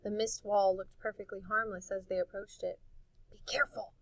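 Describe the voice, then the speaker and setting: A woman reading a storybook aloud in an expressive narrating style. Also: medium pitch, normal speed, no room sound, rough recording. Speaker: audiobook reader.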